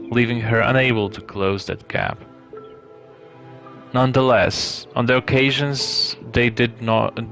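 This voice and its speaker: A man reading a story aloud live as narration, in a measured way with some hesitations and stumbles. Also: lowish voice, fairly bright tone. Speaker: streamer reading a visual novel aloud. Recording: clear background music behind the voice.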